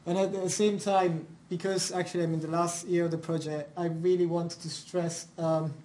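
A man's voice: fairly high pitch, flat delivery, moderate pace, warm tone, a little hiss or hum. A young man from Italy with an Italian accent, presenting his research at a university seminar in an informal way, with many fillers and restarts. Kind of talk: academic seminar talk.

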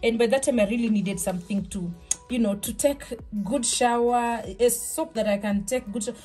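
Woman, 30s, slightly high voice, relaxed home vlogger chat, music bed clearly underneath. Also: moderate pace. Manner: chatty, relaxed, restarts and fillers, soft laughs. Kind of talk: casual shop-with-me haul chat. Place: small home kitchen, indoors. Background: background music.

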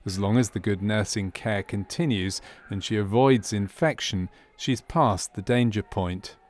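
A man narrates a medical training film in a fairly low voice. His delivery is scripted documentary narration, explaining things as a narrator would. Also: a little slow.

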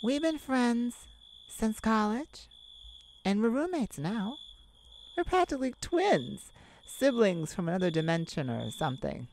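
A woman's voice: on the high side, very animated, darkish tone, slight background noise, rough recording. A woman voicing a sassy, kind-hearted cat friend in an ASMR roleplay, theatrical and teasing as she scolds the listener in a motherly way. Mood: caring, sassy. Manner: theatrical, teasing, drawn-out emphasis. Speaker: audio roleplay performer.

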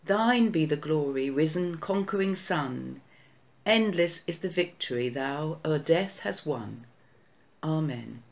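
Gravelly-voiced church prayer leader. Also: mid-range voice, lively intonation, highs cut off. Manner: slow, measured, solemn reading. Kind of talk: read-aloud Easter prayer.

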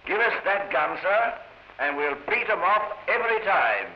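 A man's voice: sits high, lively intonation, unhurried. A plain-spoken man, a merchant ship's captain, speaking colloquially in a 1940s documentary film. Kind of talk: 1940s documentary dramatised account. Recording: noisy, echoey, dull 1940s film soundtrack.

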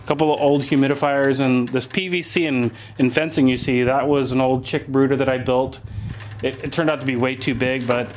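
A man in his forties giving a casual, relaxed walking tour of his homestead, thinking aloud with fillers as he films himself on a handheld camera. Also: mid-range voice, moderate pace, slight background noise. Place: outdoors and in farm buildings. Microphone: handheld camera mic, filming himself.

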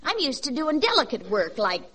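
A woman's voice: high voice, wildly swooping pitch, moderate pace, fairly bright tone, husky, full character voice. A woman playing a naive, folksy country girl working as a scrub woman in a 1940s radio comedy. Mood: folksy, plaintive. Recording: noisy 1940s radio recording, studio audience.

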